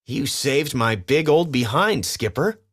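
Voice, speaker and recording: A man's voice: medium pitch, expressive, measured pace, fairly bright tone, pitched above the performer's own voice. A man voicing a weary cartoon lemur who serves as a royal adviser and announcer. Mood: relieved.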